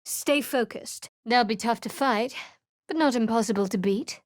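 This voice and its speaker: A woman's voice: on the high side, moderate pace, no room sound, natural voice. An actress playing a guarded young woman cleric in a video game, speaking in a dry, cutting, sardonic way. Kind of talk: video game character dialogue. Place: studio voice booth.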